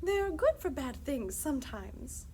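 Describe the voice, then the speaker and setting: A fussy old stage actress from a storybook, acted out by a woman reader. Her voice is pitched high and swings about, with theatrical, drawn-out words. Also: very animated, a little mellow, slight background noise. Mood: fussy, knowing. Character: dramatic elderly former actress, triumphant and fussy.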